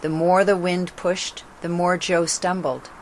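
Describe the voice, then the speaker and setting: A female voice, set low, reads a children's story. The delivery is scripted, dramatic narration. Some background noise sits behind the voice. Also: level tone, measured pace.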